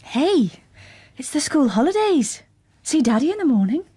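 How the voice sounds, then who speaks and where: An actress playing a tired, tender young mother in an intimate radio drama, soft and breathy. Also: high voice, very animated, normal speed.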